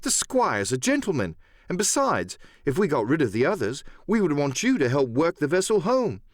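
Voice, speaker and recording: A man's voice: fairly high pitch, wildly swooping pitch, moderate pace, no echo, clean studio sound. A man voicing an earnest, polite boy adventurer in an audiobook radio play. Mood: reassuring.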